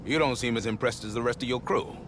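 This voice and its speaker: An actor playing a gruff, good-humored sergeant major with folksy, swaggering banter in a game cutscene. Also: male voice, mid-range voice, quick pace, background noise, close to an everyday voice. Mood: warm, steady. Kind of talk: video game cutscene acting.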